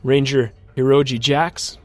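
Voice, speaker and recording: An actor playing a shaken ranger pilot who solemnly and haltingly records his testimony in an audio drama. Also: medium pitch, level tone, darkish tone, natural voice. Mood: grave, shaken.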